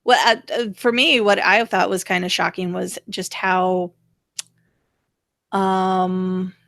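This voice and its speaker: A woman's voice: on the low side, flat delivery, slow, gravelly, a bit bright, dry recording. A woman host chatting casually about politics in a blunt, sarcastic, mildly profane way. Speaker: talk show host.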